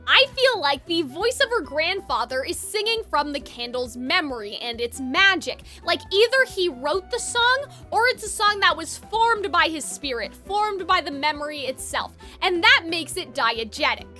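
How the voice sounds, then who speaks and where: A woman's voice: extremely high pitch, sharp tone. A woman giving opinionated, conversational video essay commentary as the host. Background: background music.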